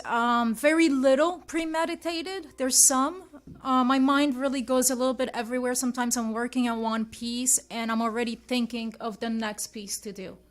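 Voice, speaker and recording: A woman from Switzerland, a guest artist, chatting casually in an interview and thinking aloud as she answers. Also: fairly high pitch.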